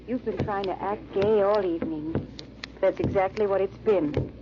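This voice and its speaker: An actress playing a sympathetic, spirited and devoted friend, eager and direct, in old radio drama style. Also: female voice, medium pitch, normal speed, natural voice. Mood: concerned. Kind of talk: 1940s radio drama acting. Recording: muffled, noisy old radio recording.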